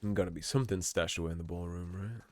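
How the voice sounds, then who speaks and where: A man giving relaxed, musing commentary over a game playthrough, his voice slightly hoarse. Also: deep voice, quick pace. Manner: relaxed, musing, reads in-game notes aloud. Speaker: game streamer. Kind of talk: let's play commentary.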